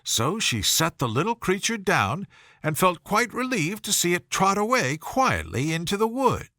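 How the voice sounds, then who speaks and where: A man narrating a bedtime story in a soothing, gentle, measured way. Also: high voice, wildly swooping pitch, no echo, studio quality.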